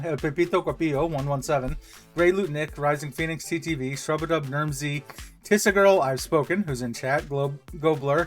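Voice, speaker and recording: A man streaming from home, chatting casually and rambling through shout-outs and plans with many pauses and restarts. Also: on the high side. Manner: rambling, lots of ums and restarts. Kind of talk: casual livestream chat.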